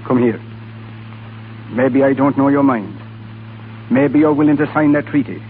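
An actor playing a blunt, menacing hard-line Irish rebel with emphatic, challenging speech in 1930s radio acting style. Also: male voice, medium pitch, a little slow, a little hiss or hum, natural voice. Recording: tinny 1930s radio recording.

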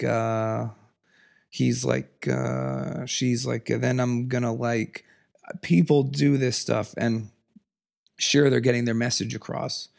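A man hosting a solo podcast, raspy from a cold, talking in an earnest, emphatic, passionate way. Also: darkish tone. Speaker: podcast host. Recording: lower audio quality.

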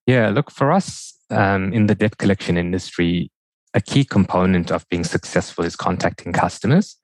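A man's voice: low voice, moderate pace, a little mellow, dry recording. A man from South Africa with a mixed South African and New Zealand accent, answering questions conversationally as a podcast guest. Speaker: podcast guest, data and analytics executive.

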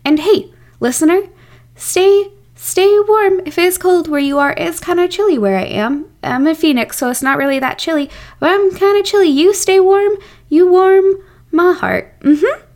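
High-pitched, friendly woman presenting. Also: normal speed, darkish tone. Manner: friendly, whimsical. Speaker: podcast presenter. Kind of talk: recommendation segment addressed to listeners.

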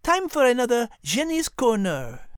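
High-voiced, refined French host. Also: female voice, very animated, measured pace, clear, resonant tone, no room sound, clean studio sound. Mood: bright curiosity. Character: polite, curious, refined French female host. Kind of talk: playful story podcast hosting.

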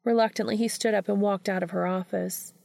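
A woman's voice: mid-range voice, fairly even intonation, brisk, creaky, darkish tone, dry recording, studio quality. A woman reading a scripted story aloud as an audiobook narrator. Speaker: audiobook reader.

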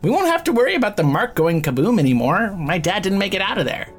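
A woman's voice: mid-range voice, very animated, fast talker, soft background music. A woman playing a wounded, slangy criminal in an audio drama, joking and rambling while shaky with pain. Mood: rattled, flippant. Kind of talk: fiction podcast audio drama acting.

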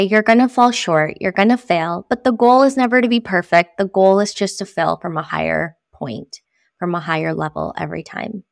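A woman whose first language is Spanish talks earnestly about love, practice and grace as a podcast guest. Her voice sits at a middle pitch. The sound is close and dry with no room around it. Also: no echo. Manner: earnest, reflective, speaks of love and spirit. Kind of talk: spiritual podcast conversation.

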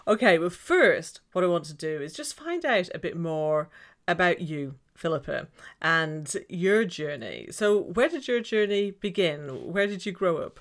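Irish lady hosting, lowish voice, encouraging, laughs a lot. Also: animated, measured pace. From Ireland. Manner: warm, encouraging questions, laughs easily. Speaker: interview host, coach. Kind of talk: conversational interview.

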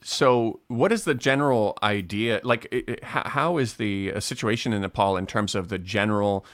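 A man hosting a casual chat over a video call. His voice sits on the low side and sounds dry and close, with no room around it. He talks in a loose, hesitant way, restarting his sentences often. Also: moderate pace, a bit bright, dry recording. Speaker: host.

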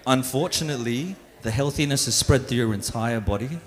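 A man's voice: medium pitch, brisk. A young man doing a live stand-up set on a stage mic, self-deprecating and loose, stumbling and restarting as he goes. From Philippines, raised in Australia. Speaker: stand-up comedian. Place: live venue with an audience. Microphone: stage microphone.

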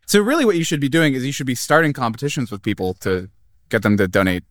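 A man's voice: mid-range voice, animated, fast talker, no echo, clean studio sound. A man in a casual group chat, joking and asking curious questions. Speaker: conversation prompter.

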